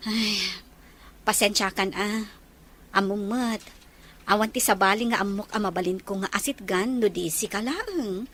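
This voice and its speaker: A woman's voice: medium pitch, measured pace, fairly bright tone. A woman with an Ilocano Filipino accent acting a grateful, apologetic older sister in an audio drama.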